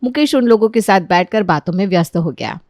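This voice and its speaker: A woman tells a scripted story as its narrator. Her pitch sits in the middle of the female range, and the sound is close and free of room echo. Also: lively intonation, fairly quick, a little mellow.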